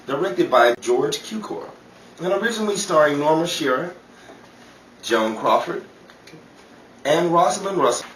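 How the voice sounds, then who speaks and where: A man's voice: medium pitch, a bit bright. Male radio announcer in echoey room. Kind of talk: scripted old-time radio introduction. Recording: echoey room, distant mic, faint noise.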